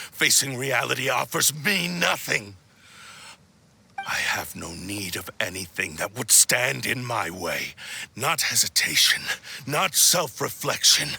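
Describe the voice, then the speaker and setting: A man's voice: lowish voice, creaky, bright, forceful tone, breathy edge, exaggerated voice. A man voicing a proud, bitter spirit of a cursed sword in a video game, speaking in a theatrical, haughty, menacing drawl. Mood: bitter, defiant. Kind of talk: dramatic video game dialogue.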